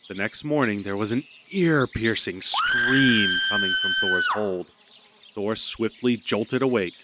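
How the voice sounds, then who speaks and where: A man's voice: mid-range voice, huge pitch swings, a little slow, raised voice. A man narrating a scripted audio drama as a storyteller. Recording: noticeable background noise.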